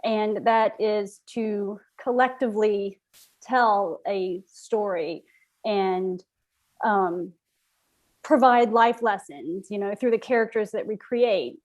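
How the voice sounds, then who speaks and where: A woman speaking as a playwright in an interview, thinking aloud in a halting, reflective way with rhetorical questions. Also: mid-range voice, darkish tone, muffled recording.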